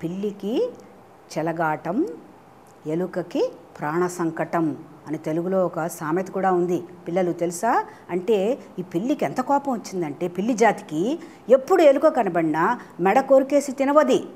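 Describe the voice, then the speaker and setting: A woman telling a children's story in an animated way, often questioning her listeners and mixing in English phrases. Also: lowish voice, normal speed, pauses often, a little hiss or hum. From India.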